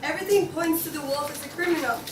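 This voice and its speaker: A woman's voice: high voice, quick pace, natural voice. A woman playing a bossy, suspicious pig juror with an emphatic delivery in a student play reading. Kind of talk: student table read of a comic play. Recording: echoey room, distant mic, faint noise.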